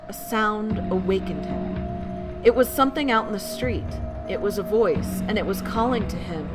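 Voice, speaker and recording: A woman narrating scary tales as a bedtime storyteller, theatrical and full of suspense. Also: mid-range voice. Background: background music.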